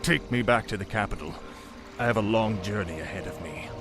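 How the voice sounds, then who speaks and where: A man's voice: fairly low pitch, fairly quick, lightly acted. An actor playing a cold, arrogant young undead warrior king in a video game cutscene, commanding and menacing in formal speech. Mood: grim, resolute. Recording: music behind the voice.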